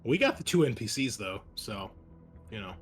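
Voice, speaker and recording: A man's voice: on the low side, very animated, normal speed, soft background music. A man chatting casually as a player in a tabletop roleplaying game.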